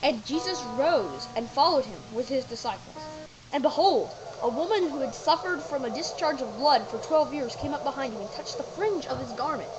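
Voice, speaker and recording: A woman's voice: high voice, animated, normal speed, background noise. A woman narrating scripted Bible stories for an audio drama.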